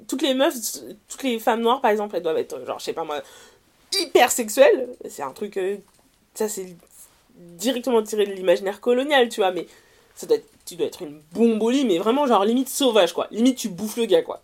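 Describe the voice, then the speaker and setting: A young adult woman with a French accent from France speaking as an interview guest, in casual, slangy personal testimony, animated and often correcting herself mid-sentence. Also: fairly high pitch, a little fast. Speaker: interview guest, writer and columnist.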